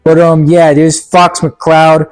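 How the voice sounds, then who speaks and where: A man giving a casual, rambling movie review in a halting, stammering way, with a sarcastic, mock-earnest streak. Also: on the high side, level tone, clean tone. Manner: halting, stammering delivery with repeated words. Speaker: video reviewer.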